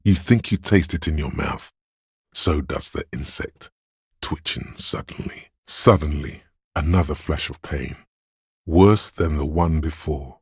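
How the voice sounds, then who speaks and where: A very deep, menacing inner pain. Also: male voice, husky, no room sound, studio quality, heavily acted. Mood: tense, ominous. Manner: brooding, deliberate, goading, theatrical. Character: inner voice of pain, male, menacing, darkly poetic. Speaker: video game narrator voicing a character's inner thought. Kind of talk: dramatic second-person game narration.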